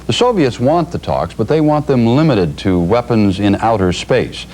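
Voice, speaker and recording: A man's voice: mid-range voice, lively intonation, normal speed, a little mellow. An American man anchoring an evening television newscast in a scripted news delivery, on a noisy eighties broadcast tape. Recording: noisy 1980s TV broadcast videotape.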